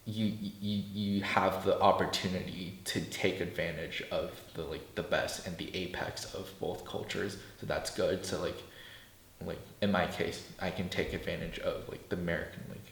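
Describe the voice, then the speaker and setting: A teenage boy speaking in a measured way with fillers in a documentary interview. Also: deep voice, steady tone, vocal fry, a little hiss or hum. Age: teens. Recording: echoey room.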